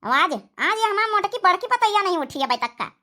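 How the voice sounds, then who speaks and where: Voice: very high voice, very animated, brisk, bright, forceful tone, slight background noise, full character voice. A performer voicing a bossy, scolding older village mother-in-law in an Awadhi comedy cartoon, domineering and sarcastic. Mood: grumbling. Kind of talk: rustic comedy cartoon dialogue.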